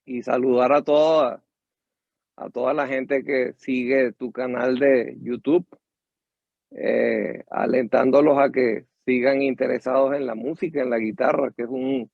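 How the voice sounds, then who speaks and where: An older man with a Venezuelan accent, a musician and teacher telling his life story in an interview, measured and reflective. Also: mid-range voice, fairly even intonation, vocal fry, dry recording, narrow, tinny audio. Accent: Venezuelan Spanish.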